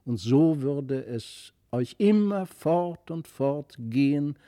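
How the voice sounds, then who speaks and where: A man voicing a mysterious, gentle fairy child in an earnest, lyrical way for a storybook reading. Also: fairly high pitch, animated, slow pace, no room sound, clean studio sound. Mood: wistful, earnest. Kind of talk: literary audiobook reading.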